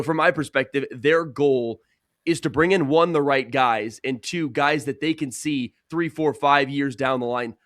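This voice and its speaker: A man's voice: mid-range voice, fairly bright tone, no room sound, studio quality. A young man, a sports broadcaster, giving earnest, conversational answers as a guest in a casual interview. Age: young adult.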